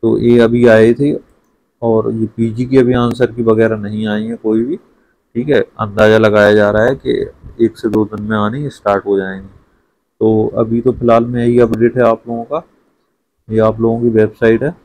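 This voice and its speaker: A man's voice: lowish voice, level tone, moderate pace, a little mellow, rough recording. A young man giving a casual screen-share walkthrough as an education YouTuber, chatty and often adding "okay" to check in. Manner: chatty, repeats "theek hai" often.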